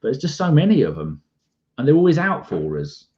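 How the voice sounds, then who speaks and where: London guy on a footy podcast going off on a rant, mid pitch, bit lo-fi sound. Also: brisk. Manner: animated, ranting, acts out conversations. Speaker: podcast co-host. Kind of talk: casual chat.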